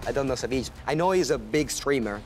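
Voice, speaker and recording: Quick-speaking man from Italy. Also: medium pitch, animated, soft background music. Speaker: pro card player. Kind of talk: pre-match interview.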